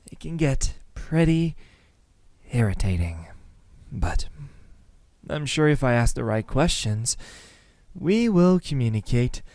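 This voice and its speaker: A young man playing a menacing student kidnapper in an ASMR roleplay, calm and smug, speaking softly and deliberately into a close microphone. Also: medium pitch, no echo. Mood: mocking menace. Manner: soft, deliberate, breathy sighs, dropping into whispers.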